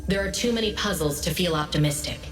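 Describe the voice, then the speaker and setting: A woman playing a composed intelligence analyst in a video game, giving briefings in a measured, serious, informative way. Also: deep voice, expressive. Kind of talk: scripted game dialogue. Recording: echoey hall, distant, faint music behind.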